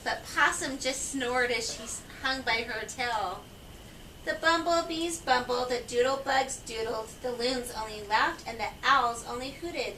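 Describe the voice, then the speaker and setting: A woman reading a rhyming children's story aloud in measured, singsong verse. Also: a little high, bright, forceful tone. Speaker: storybook reader. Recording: echoey, distant, noisy home recording.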